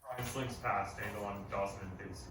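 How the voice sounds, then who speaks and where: A man's voice: on the low side, fairly even intonation, a little fast, a bit bright. A man narrating stage directions from a script at a live table read. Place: live performance venue. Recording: very echoey, distant, noisy live recording.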